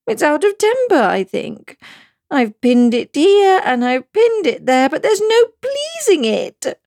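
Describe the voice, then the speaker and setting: A woman plays a muddled, querulous queen from a storybook, her voice pitched very high and swooping about. She groans out her grievances in a plaintive, creaky way, recorded close and dry. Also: sing-song, slow pace, a little mellow, no echo, heavily acted. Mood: melancholy, fretful.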